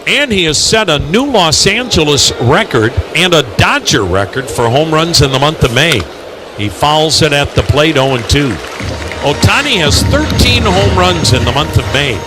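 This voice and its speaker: Male radio baseball announcer, slightly high voice, live game commentary with ballpark sound behind. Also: big pitch swings, moderate pace, music in the background.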